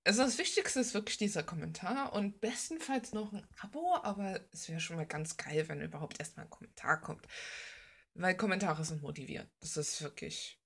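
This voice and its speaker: Casual, blunt woman with creaky voice. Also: lowish voice, fairly quick, a bit bright. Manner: informal, blunt, slangy, gushing about details. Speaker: art vlogger. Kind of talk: casual rambling chat while drawing.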